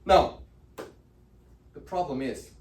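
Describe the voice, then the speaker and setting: Male youth preacher, high and animated, casual sermon style, distant mic in a reverberant church hall. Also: slow pace, a little hiss or hum. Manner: lively preaching with sudden loud emphases and quiet drops; conversational, with fillers and restarts. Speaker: youth pastor. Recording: echoey room from a distance.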